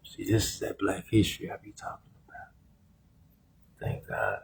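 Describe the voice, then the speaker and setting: A man, hosting a live podcast interview in an animated way and laughing often. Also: deep voice, normal speed, creaky, slight background noise. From Plainview, Texas. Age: 30s. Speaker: podcast host.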